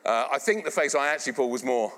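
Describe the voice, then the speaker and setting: A man's voice: mid-range voice, racing. A middle-aged English man preaching a sermon to a live congregation, speaking conversationally and coaxing the listeners to repeat phrases back. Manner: animated, conversational, asks the congregation to repeat lines. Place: church hall, live congregation. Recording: long hall reverb.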